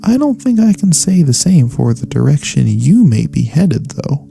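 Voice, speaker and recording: A man playing a gentle elf cleric in a soft-spoken ASMR fantasy roleplay, speaking in a soothing, courteous, slightly formal way. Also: fairly high pitch, big pitch swings, moderate pace, vocal fry, soft background music, full character voice. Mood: calm, kindly. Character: gentle, caring male elf cleric healer, pacifist. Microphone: close studio USB microphone.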